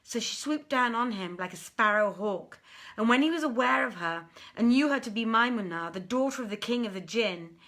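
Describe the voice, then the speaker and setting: A woman reading a literary tale as a dramatic storyteller, with measured, deliberate phrasing and theatrical pauses. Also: on the high side, lively intonation, a bit bright. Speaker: storyteller, audiobook reader.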